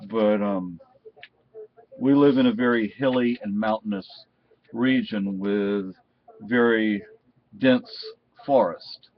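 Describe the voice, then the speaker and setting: A man giving a casual, unscripted product review in a halting, rambling way. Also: lowish voice, dry recording. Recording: dull, muffled lo-fi sound.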